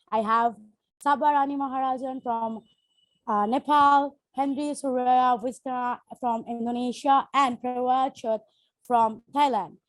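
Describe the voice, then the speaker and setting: A woman's voice: high voice, no echo, poor audio. A woman from Bangladesh moderating a panel, making polite, formal introductions.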